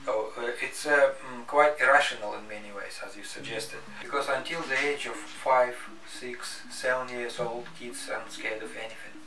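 A middle-aged man explaining his specialist subject in an interview, with halting, hesitant phrasing. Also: medium pitch, fairly even intonation, noisy background. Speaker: museum curator of entomology, spider specialist. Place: book-lined office, indoors. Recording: echoey room, distant mic, faint music.

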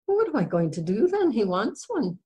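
A woman's voice: fairly high pitch, very animated, fairly quick, a little mellow. A woman voicing a stubborn, sullen Irish wife in a comic story, curt and scornful, with an affected brogue. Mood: exasperated.

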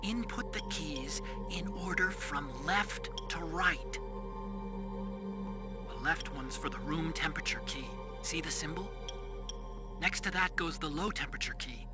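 A man's voice: fairly high pitch, measured pace, loud music behind the voice, close to an everyday voice. A man voicing an earnest young male engineer in a video game, explaining step by step.

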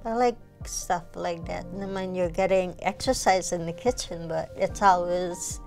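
Slow-talking woman with a Guyanese accent. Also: lowish voice, creaky. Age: 40s. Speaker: client sharing personal story. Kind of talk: interview testimonial. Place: small furnished living room indoors. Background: soft music.

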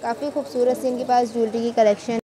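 A chatty Pakistani woman with a slightly high voice films herself touring market stalls. She talks enthusiastically and quizzes shopkeepers on prices. Clear market noise runs behind her phone recording. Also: flat delivery, quick pace. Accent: Pakistani Urdu. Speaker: shopping vlogger. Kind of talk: market tour vlog. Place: busy indoor market shops.

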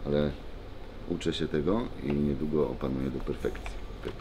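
A man's voice: extremely low pitch, steady tone, normal speed. A man, chatting casually to the camera in a vlog. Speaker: vlog subject, MMA fighter. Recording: noisy on-location vlog audio.

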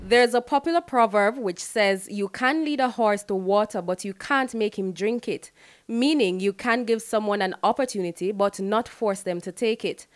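A woman's voice: mid-range voice, moderate pace. A woman with a Jamaican accent narrating a scripted news report.

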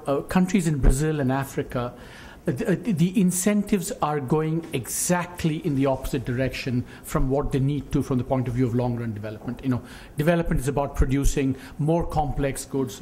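A man's voice: mid-range voice, mellow. A middle-aged man giving emphatic panel remarks, often repeating words as he starts a phrase. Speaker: panelist, economist. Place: indoor conference panel stage. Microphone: gooseneck table microphone. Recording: clearly noisy conference room recording.

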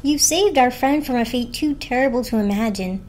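A woman's voice: a little high, normal speed, pitched above the performer's own voice, close to an everyday voice. A woman voicing a kind, earnest little toaster in a storybook reading. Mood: warm, grateful. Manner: warm, earnest.

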